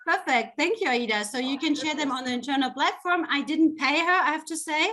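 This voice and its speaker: A woman's voice: high-pitched, fairly quick, pushed and bright. A woman from a university international office giving a chatty presentation over a video call, with many small fillers. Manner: chatty, laughs easily, fillers. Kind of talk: presentation at a virtual fair.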